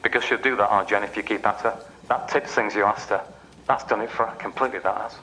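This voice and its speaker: A man's voice: on the low side, fairly even intonation, fast, sharp tone, a little hiss or hum, poor audio. An actor playing a sardonic male train announcer who needles passengers with mocking, conversational patter in a radio play.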